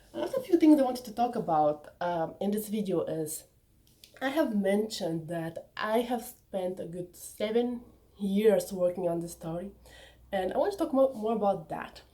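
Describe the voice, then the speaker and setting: A woman's voice: medium pitch, warm tone. A young woman whose first language is Bulgarian, talking chattily and eagerly to her viewers about her book. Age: young adult. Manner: enthusiastic, chatty, sometimes personal and reflective. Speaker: author presenting her book. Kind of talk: casual vlog talk to viewers.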